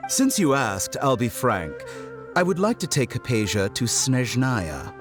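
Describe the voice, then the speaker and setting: A man's voice: medium pitch, very animated. An actor playing an arrogant male doctor and scholar in a video game, mock-polite and condescending, drawing out his words for menace. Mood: polite, probing. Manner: theatrical, mock-polite, drawn-out emphasis. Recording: music behind the voice.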